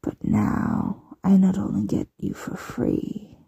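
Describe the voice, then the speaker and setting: A woman playing a possessive, smugly menacing mistress in an ASMR roleplay, breathy and often whispering, with a teasing, condescending coo. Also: medium pitch, completely flat delivery, dark tone, dry recording, clean studio sound, exaggerated voice. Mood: smug, gloating. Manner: teasing, condescending, drawn-out cooing.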